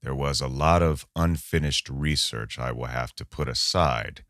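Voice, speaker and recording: A man acting a condescending, intimidating boss, very deep and slow. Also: a bit bright, dry recording, studio quality. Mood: falsely friendly. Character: menacing, smooth-talking boss recruiting with veiled threats. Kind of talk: audio drama acting.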